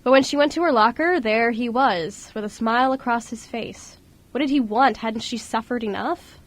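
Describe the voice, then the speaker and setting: A woman's voice: on the high side, normal speed. A woman reading scripted story narration as narrator of an amateur radio play. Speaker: radio show co-host and narrator.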